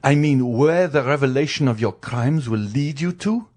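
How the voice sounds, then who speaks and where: A man's voice: medium pitch, lively intonation, moderate pace, a little mellow, pitched above the performer's own voice, lightly acted. A man playing a stern, merciless magistrate condemning his wife in a cold, commanding, oratorical way in a dramatic story reading. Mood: indignant, accusing.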